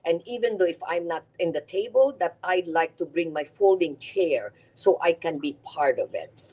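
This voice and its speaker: A middle-aged woman with a Filipino accent talks in a low, deep register. She speaks earnestly as an interview guest. She often repeats words while she builds toward her point. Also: expressive, normal speed, dark tone, breathy edge, low-quality recording. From Philippines. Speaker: guest, nonprofit CEO. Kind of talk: podcast interview.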